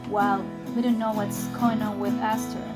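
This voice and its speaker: An actress playing a gentle, sincere female folk performer introducing a cover song to fans in an audio drama. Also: medium pitch, little pitch movement, moderate pace, loud music behind the voice, natural voice. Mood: soft, sincere. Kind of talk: soft stage introduction and slow folk singing.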